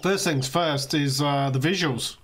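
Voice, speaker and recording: An Australian man, slightly hoarse, giving a relaxed walkthrough of a video game he is playing, with frequent fillers. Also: a little high, unhurried. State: slightly hoarse, losing his voice. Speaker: let's play host. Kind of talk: casual gameplay walkthrough commentary.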